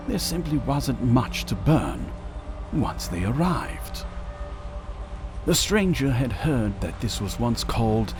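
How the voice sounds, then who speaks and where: A man reading scripted narration for a dramatic audio story in a measured, theatrical manner. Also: mid-range voice, noisy background.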